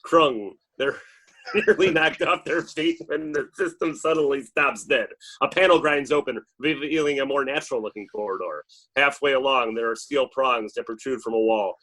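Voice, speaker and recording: A man with a mid-pitched voice narrates a screenplay. He reads scripted action lines aloud in the loose setting of a table read. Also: moderate pace, bright, forceful tone, poor audio. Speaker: narrator reading stage directions.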